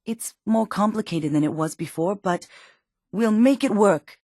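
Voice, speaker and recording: An actress playing a worried, protective middle-aged mother in a post-apocalyptic video game, speaking earnestly and emotionally, with halting phrases. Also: mid-range voice, a little mellow, dry recording, clean studio sound, natural voice. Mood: resolved, tender. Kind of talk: dramatic video game voice acting.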